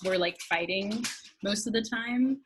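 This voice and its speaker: A woman's voice: mid-range voice, measured pace, a bit bright, slight background noise. A woman who writes for a theatre group, talking casually. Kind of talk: casual chat.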